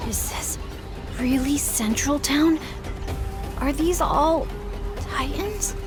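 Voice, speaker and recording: A woman playing an earnest, excitable young companion in a fantasy video game, expressive and wide-eyed. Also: high-pitched, slow, music in the background. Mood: amazed. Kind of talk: English video game voice acting.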